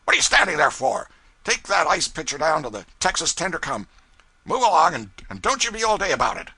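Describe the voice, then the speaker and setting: A man's voice: a little high, big pitch swings, moderate pace, gravelly, husky, no echo, pitched above the performer's own voice, full character voice. A man voicing a bullying, irritable older riverboat pilot who barks and sneers. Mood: shouting, furious. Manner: barking, shouting, sneering.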